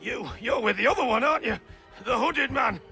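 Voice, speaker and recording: A man's voice: extremely high pitch, animated, fairly quick, pushed and bright, faint music behind, full character voice. An actor playing a terrified, cowardly apothecary in a video game, frantic and pleading as he talks. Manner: panicky, pleading. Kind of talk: video game dramatic acting.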